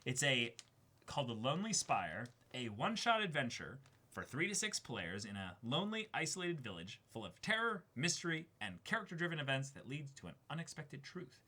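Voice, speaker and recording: A man's voice: medium pitch, sing-song, fairly quick, sharp tone, husky. An enthusiastic young man co-hosting an unboxing show in casual, sweary chat. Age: young adult. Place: indoor room, seated.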